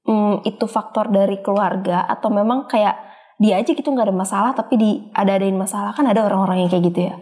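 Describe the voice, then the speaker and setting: A woman's voice: medium pitch, quick pace, mellow. A woman with an Indonesian accent hosting a casual talk show in an informal, colloquial, jokey way. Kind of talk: casual chat.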